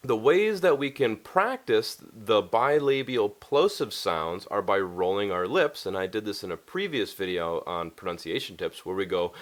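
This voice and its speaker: A man teaching English pronunciation in an instructional style, explaining things deliberately and step by step. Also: on the low side, expressive, a little hiss or hum. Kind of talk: instructional lesson to camera.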